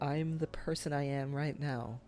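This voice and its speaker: A man playing a tipsy, earnest young man wooing his old sweetheart in a boyfriend roleplay, speaking casually and haltingly. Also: a little high, fairly even intonation, a little mellow, faint music behind. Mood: tender, pleading. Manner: halting, tender, casual, often chuckling. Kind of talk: boyfriend ASMR roleplay acting.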